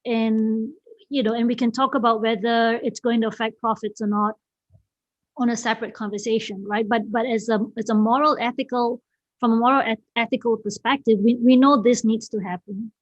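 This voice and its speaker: Dull dry call audio, woman from Malaysia, fairly high voice, online presenter, hesitant, repetitive phrasing. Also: fairly even intonation, a little fast, dry recording. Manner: hesitant, repeats phrases, often checks in with "right?". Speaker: event host and speaker. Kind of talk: informal presentation to an online group. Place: online video meeting.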